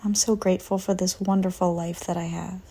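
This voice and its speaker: A woman narrating a guided visualization in a soft, breathy voice that often nears a whisper, calm and gentle with long pauses. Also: fairly low pitch. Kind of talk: slow guided visualization, soothing scripted narration.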